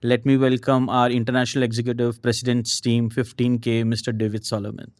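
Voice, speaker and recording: Hesitant podcast host in a dry room. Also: male voice, medium pitch, flat delivery, brisk, no echo. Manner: hesitant, many fillers, warm affirmations. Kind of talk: interview hosting.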